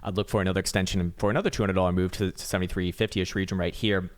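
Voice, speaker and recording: A raspy-voiced man giving casual, rambling chart analysis, swearing now and then and full of fillers and restarts. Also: deep voice, flat delivery, very fast. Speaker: market analyst.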